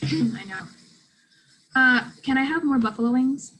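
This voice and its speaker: A woman's voice: high-pitched, lively intonation, measured pace, fairly bright tone, slight background noise, poor audio. A woman in a play reading playing a blunt young South American student who talks in a casual, slangy, sweary ramble. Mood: playful. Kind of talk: play reading, naturalistic conversational acting.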